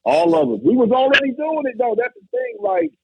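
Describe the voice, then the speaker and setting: A man from Indiana with a loud voice, a former basketball coach, telling his story in an emphatic, animated way. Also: very high voice, a little fast, gravelly, noisy background. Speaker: guest, former college basketball coach turned musician. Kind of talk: casual conversation. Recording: tinny phone-like line, dry.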